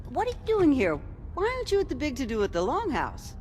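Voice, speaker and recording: A woman's voice: huge pitch swings, fast talker, darkish tone. A woman playing a nosy, chiding but indulgent older woman in a video game. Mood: suspicious, nosy. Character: scolding but indulgent older woman, stern motherly busybody. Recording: echoey hall with faint rumbling noise.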